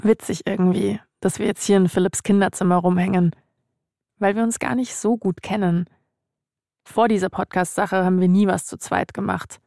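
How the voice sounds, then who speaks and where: A woman's voice: medium pitch, steady tone, moderate pace, gravelly, dark tone, no echo, clean studio sound. A woman, speaking as a podcast host in narration and casual chat.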